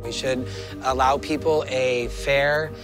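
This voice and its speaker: A man's voice: medium pitch, steady tone, slow. An American man giving his opinions in an interview. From United States. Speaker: street interviewee. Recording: music behind the voice.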